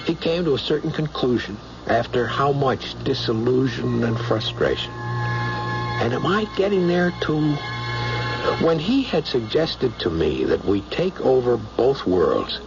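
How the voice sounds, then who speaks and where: Earnest space commander with big vocal swings. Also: male voice, fairly high pitch, gravelly, full character voice. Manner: earnest, dramatic, reflective. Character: earnest, troubled space pilot commander, conscience-stricken. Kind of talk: radio drama acting. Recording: dull old radio broadcast recording.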